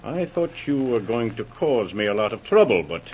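An actor playing a smug, menacing smuggling boss, mock-polite and taunting, in a 1940s radio drama. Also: male voice, mid-range voice, big pitch swings, normal speed, husky. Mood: smug menace. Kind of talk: 1940s radio villain acting. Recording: muffled old radio recording.

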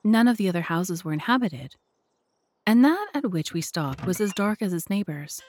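A woman's voice: fairly low pitch, expressive, normal speed, a little mellow, no echo. A woman narrator and host reading scripted narration for an audio drama.

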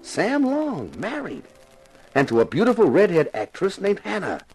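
An actor playing a wry, flirtatious American sleuth with a dry wisecracking manner, narrating and talking in a 1950s radio detective drama. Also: male voice, high-pitched, wildly swooping pitch, normal speed, a little mellow, slightly rough, faint music behind, heavily acted, poor audio.

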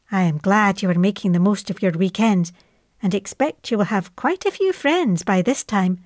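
A woman's voice: medium pitch, a little mellow, no echo, clean studio sound, lightly acted. An actress playing an affectionate middle-aged mother in wartime, reading out her chatty, matter-of-fact letter to her airman son.

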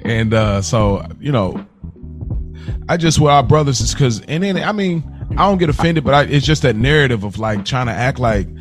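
A man's voice: mid-range voice, lively intonation, moderate pace. Emphatic man in casual podcast talk, music behind. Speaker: podcast co-host. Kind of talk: casual conversational podcast debate. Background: background music.

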